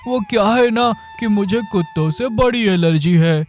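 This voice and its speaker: A man's voice: high voice, moderate pace, close to an everyday voice. A man voicing a naive, food-loving young sidekick thief who talks in a silly, eager way. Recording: faint background music.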